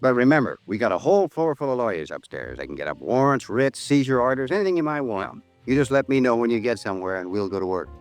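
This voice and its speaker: A man's voice: medium pitch, big pitch swings, quick pace, hardly any pauses, lightly acted. A man playing a big, talkative, folksy insurance office man with an emphatic, weary manner in a 1950s radio drama. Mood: hearty. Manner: folksy, hesitant, emphatic, rhetorical questions. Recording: vintage 1950s radio recording, music behind.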